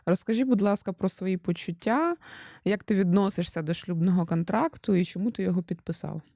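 A woman's voice: medium pitch, a little fast, gravelly, dark tone, no echo, studio quality. A young Ukrainian woman hosting a casual podcast, chatty and teasing, often stumbling over her words as she questions her husband. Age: young adult. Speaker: podcast host.

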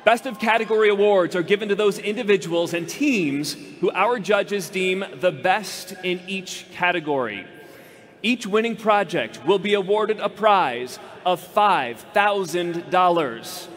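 High-voiced man announcing in a vast hall. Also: lively intonation, unhurried. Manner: emphatic, builds to loud announcements of names. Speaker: awards ceremony host. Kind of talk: formal stage announcing. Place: large arena stage before a big audience. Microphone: headset mic. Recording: long echo of a big hall.

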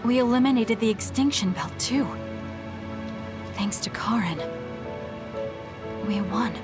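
An actress playing a calm, serious, resolute young woman soldier in an anime game dub. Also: on the high side, takes it slowly, over music. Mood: resolute, relieved.

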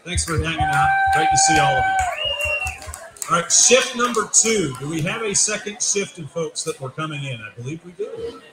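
A man's voice: a little high, huge pitch swings, normal speed, very noisy. A man hosting a casual soccer podcast interview at a brewery event, joking and easygoing. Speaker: podcast host. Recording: echoey room with crowd chatter.

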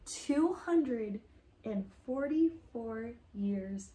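A woman in her thirties hosting a children's story time, reading aloud and asking questions in animated, child-directed talk. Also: on the high side, very slow, slight background noise. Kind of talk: picture-book read-aloud and chat for children. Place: living room, indoors. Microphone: camera a few feet away. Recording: echoey room.

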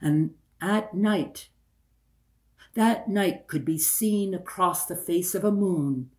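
Male storyteller, 60s, unhurried and deliberate delivery, theatrical folktale narration. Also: high-pitched, a little mellow. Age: older, 60s. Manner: deliberate, repeats phrases for effect. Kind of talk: dramatic oral folktale storytelling. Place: small room indoors by a window.

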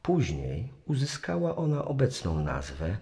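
A man's voice: lowish voice, huge pitch swings, a little slow, dark tone. A man narrating a legend as the scripted storyteller of a radio play. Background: music.

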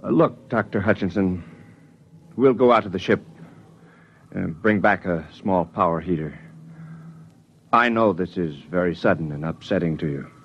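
An actor playing a brisk, kindly scout looking for survivors in a 1950s radio drama. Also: male voice, low voice, slight background noise. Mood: concerned. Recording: muffled old radio recording.

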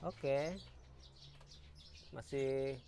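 A man's voice: mid-range voice, flat delivery, extremely slow, creaky. A man narrating a casual vlog as a bird breeder, talking to his viewers. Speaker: lovebird breeder and vlogger. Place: outdoors among backyard bird cages. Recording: bird chirps, clicks, outdoor noise. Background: loud birdsong and chirping.

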